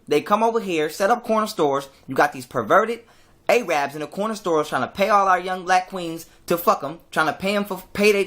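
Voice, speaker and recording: Male commentator, higher-than-usual register, preachy and emphatic, swears freely, stumbles over words in the heat of it. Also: a bit bright. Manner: emphatic, preachy, profane, repeats words when fired up. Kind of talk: heated street-corner lecture.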